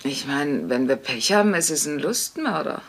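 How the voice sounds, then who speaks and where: A woman's voice: low-pitched, expressive, normal speed, heavy background noise. An actress playing a sardonic, bossy working-class mother in a dubbed sitcom, with dry wisecracking delivery. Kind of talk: German dubbed sitcom acting.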